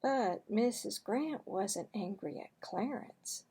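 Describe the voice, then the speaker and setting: A woman reading a children's book aloud as a storyteller, deliberate and expressive. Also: medium pitch, slightly rough. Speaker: storybook reader.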